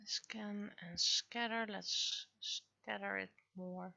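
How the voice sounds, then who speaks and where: A woman's voice: medium pitch, fairly even intonation, drawn-out words, vocal fry, pushed and bright, highs cut off. A woman livestreamer chatting casually while she draws, often muttering to herself as she works. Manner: mutters to self while working. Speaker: livestreamer and webcomic artist.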